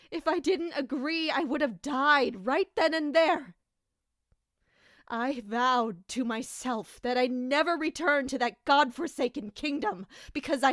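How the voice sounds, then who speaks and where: A woman's voice: high-pitched, sharp tone, no echo, clean studio sound. A woman playing an anguished, dutiful and bitter royal captain in an audio drama, brooding and emotionally intense. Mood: desperate.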